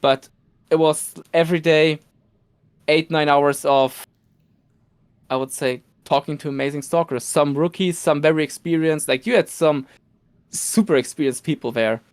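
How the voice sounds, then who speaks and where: Smooth-voiced man telling excited stories. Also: on the high side, moderate pace, dry recording. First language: Polish. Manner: excited storytelling with laughs and restarts. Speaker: podcast guest, cosplayer. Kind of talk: casual podcast chat.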